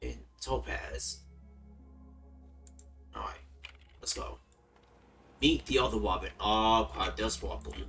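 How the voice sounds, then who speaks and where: A laid-back man from the United States chats through his game stream in a relaxed, rambling way. He often restarts and repeats his words. His mic sits a bit far off, with faint game music underneath. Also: lowish voice, slow, long pauses. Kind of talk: casual gaming stream commentary.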